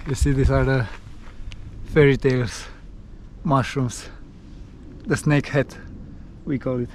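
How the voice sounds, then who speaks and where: Mellow-voiced man vlogging outdoors. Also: medium pitch, little pitch movement, takes it slowly, a little hiss or hum. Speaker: travel vlogger. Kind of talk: casual outdoor vlog talk to camera. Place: outdoors by a forest.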